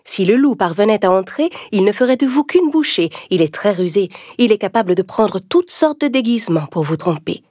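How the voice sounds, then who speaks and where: A woman voicing a caring mother goat giving soft, careful warnings. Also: medium pitch, very animated, fairly quick, few pauses, dry recording, clean studio sound.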